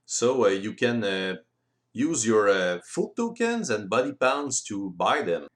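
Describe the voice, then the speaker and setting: A man explaining board game rules step by step in simple, deliberate phrasing. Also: on the low side, very animated. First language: French. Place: voice-over recorded separately from the video.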